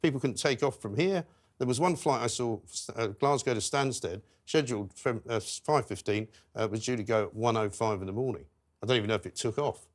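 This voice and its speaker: A man's voice: medium pitch, brisk. A British man chatting emphatically as a panellist on a news discussion show. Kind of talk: casual studio panel chat.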